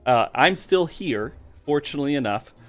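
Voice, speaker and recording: A man's voice: a little high, expressive, normal speed, a bit bright, faint music behind. A man, a content creator, chatting casually on a podcast in an easygoing way and laughing often.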